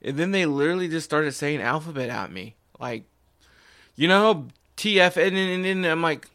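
A man's voice: a little high, lively intonation, normal speed, bright, forceful tone, dry recording. A man in his forties hosting a podcast in casual chat, rambling with restarts and wry asides. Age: 40s. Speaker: podcast host.

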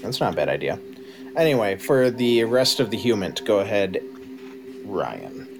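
A man's voice: on the low side, very animated, normal speed, vocal fry, noisy background. A man running a tabletop game as game master, laid-back, calling for dice rolls and narrating.

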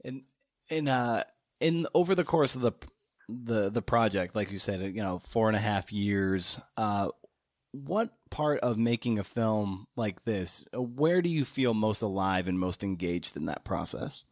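A man with a fairly low voice hosts a casual interview. He builds his questions gradually, pausing to search for words, and the sound is dry and close. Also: no echo. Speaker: podcast host interviewer. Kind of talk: casual long-form interview.

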